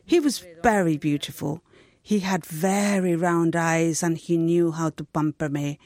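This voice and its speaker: A woman's voice: low-pitched, measured pace, darkish tone, no echo. A woman giving a translated first-person voice-over, telling a story as an interpreter.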